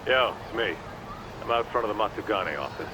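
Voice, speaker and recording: Male actor as laid-back streetwise pal, game. Low voice, slangy, noisy street ambience. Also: expressive, a little fast, close to an everyday voice. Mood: casual, conspiratorial. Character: casual, streetwise male buddy phoning from the street. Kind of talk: video game cutscene acting.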